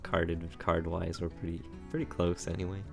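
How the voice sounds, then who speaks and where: A man's voice: deep voice, flat delivery, moderate pace, gravelly. A man giving casual, laid-back commentary while playing a card game, thinking aloud and chuckling at his own jokes, with game music behind. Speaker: gameplay video creator.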